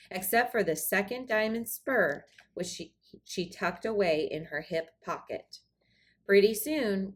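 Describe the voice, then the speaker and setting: Expressive woman reading a children's storybook. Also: medium pitch. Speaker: storybook reader. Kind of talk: expressive children's read-aloud narration.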